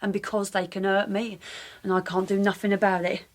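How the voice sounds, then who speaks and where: An actress playing an ageing, weary working-class London prostitute in a radio drama, speaking plainly and colloquially in a coaxing way. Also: female voice, medium pitch, flat delivery, fairly quick, close to an everyday voice. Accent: London working-class English. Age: older. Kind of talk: naturalistic radio drama acting.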